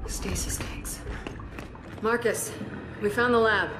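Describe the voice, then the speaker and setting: A woman's voice: medium pitch, slow, natural voice. A woman playing a determined, wary young soldier in a video game, with a blunt, direct way of talking. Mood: guarded, serious. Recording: loud game music behind.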